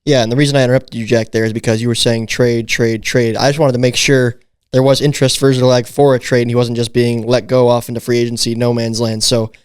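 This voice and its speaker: A man's voice: mid-range voice, level tone, fast, clean tone, no room sound, studio quality. A man hosting a casual sports podcast, leading the talk and asking questions. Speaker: podcast host.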